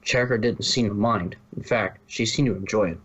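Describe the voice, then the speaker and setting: A man's voice: on the low side, normal speed, fairly bright tone, husky, low-quality recording. A man reading scripted story narration, now and then stumbling and rereading a phrase.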